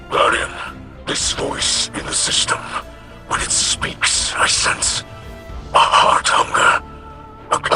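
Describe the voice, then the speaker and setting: A man voicing a wise, reverent alien ally in a video game, measured, hushed and earnest, pausing between phrases. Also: a little high, full character voice.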